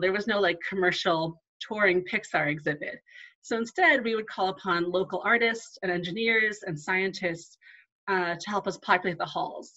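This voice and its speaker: A woman's voice: medium pitch, fairly bright tone, highs cut off. A woman archivist giving a live online talk, conversational with frequent ums and asides and no regional accent. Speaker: museum archivist.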